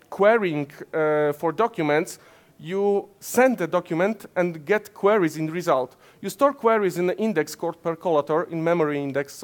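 Polish-accented man in his 30s, conference tech speaker, a little high-pitched, halting with fillers. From Poland. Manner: many fillers and self-corrections, gesturing while explaining. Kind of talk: technical conference talk. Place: conference stage in front of slides, indoors.

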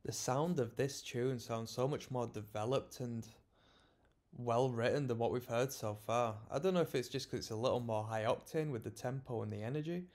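A man's voice: mid-range voice, moderate pace. Sleepy young man chatting over music. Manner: relaxed, rambling, slightly sleepy. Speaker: music reaction host. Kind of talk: casual music reaction commentary. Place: small room indoors. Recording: song playing faintly behind.

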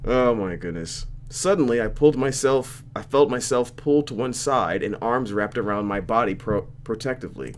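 A man's voice: mid-range voice, moderate pace, few pauses, a little hiss or hum. A young man doing a let's play, reading a visual novel aloud with playful, reactive commentary.

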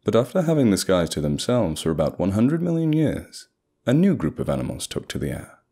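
A man reading scripted, dramatic narration as a storyteller, with a husky voice. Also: lowish voice, very animated, brisk, dark tone. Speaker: voice actor, narrator.

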